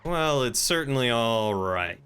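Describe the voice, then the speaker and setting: Guy streaming game rp, smooth clear voice, kinda bright, wry and measured, chatting casually. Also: medium pitch, lively intonation. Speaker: game streamer playing a crime boss. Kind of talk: casual roleplay and stream chat.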